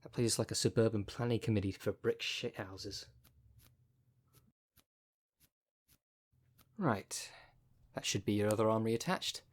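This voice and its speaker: Casting: man to play necromancer fight coach for ASMR audio roleplay, lowish male voice, wry and caring, casual and colloquial delivery. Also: fairly even intonation, moderate pace, natural voice. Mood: impressed, chatty. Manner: chatty, colloquial, wry, consoling.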